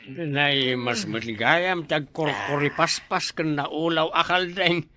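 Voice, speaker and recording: A man plays an excitable, scheming drinking buddy with an Ilocano accent. His voice is high and sharp, full of comic stammers and exclamations, as in a village comedy radio play. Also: slow, a little hiss or hum. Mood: gleeful, scheming. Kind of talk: Ilocano comedy radio-style drama acting.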